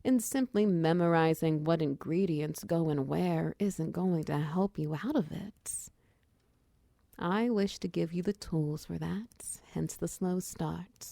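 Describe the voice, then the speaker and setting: Woman as female minotaur shopkeeper wizard, ASMR roleplay, chatty, encouraging mentor, gravelly fry, close dry sound. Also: fairly low pitch, slow, dry recording. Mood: earnest, instructive. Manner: chatty, encouraging, gently instructive.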